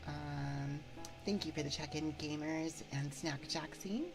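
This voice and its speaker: A woman hosting an ASMR livestream, chatting casually and haltingly as she replies to viewers on a binaural microphone. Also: sits low, creaky, music in the background, low-quality recording. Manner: halting, reading and replying to chat. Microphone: binaural 3Dio microphone.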